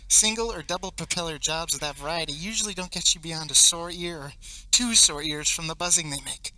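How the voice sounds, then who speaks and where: A teenage male actor playing an intense, needling man in a stage play, swinging theatrically between loud and hushed delivery, with obsessive fervor. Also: a little high, fairly quick, poor audio. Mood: wistful, dreamy. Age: teens. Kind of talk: stage play monologue, projected theatrical acting. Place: high school theatre stage. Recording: live stage recording with faint noise.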